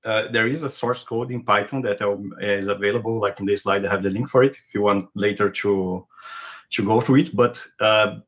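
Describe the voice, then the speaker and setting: Low-voiced man lecturing. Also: normal speed. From Brazil. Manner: relaxed, loosely phrased with fillers. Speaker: guest lecturer. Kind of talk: technical lecture. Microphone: video call. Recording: dull lo-fi web call audio.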